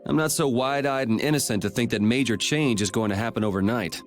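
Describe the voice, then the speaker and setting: A man's voice: medium pitch, a little fast, soft background music, lightly acted. A young man voicing a carefree, cocky swordsman in a video game, with a wry, blunt, easygoing delivery.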